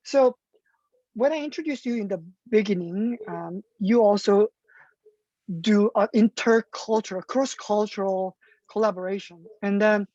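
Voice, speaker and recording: A woman's voice: mid-range voice, measured pace, warm tone, no echo. Woman moderator, enthusiastic questions, muffled dry sound. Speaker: symposium host, interviewer. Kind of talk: moderating an online talk.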